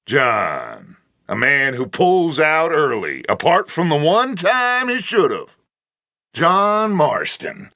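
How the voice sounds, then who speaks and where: A man's voice: medium pitch, sharp tone, no echo, clean studio sound, exaggerated voice. An actor playing a wry, teasing gang wit in an Old West video game, needling his companions with droll theatricality. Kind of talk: video game character barks.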